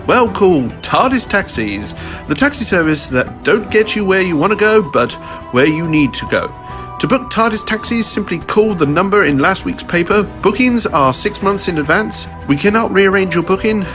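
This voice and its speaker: A male announcer in a spoof advert, delivering an upbeat sales pitch, as a narrator puts him on. His pitch sits high and bounces around, with music clearly underneath.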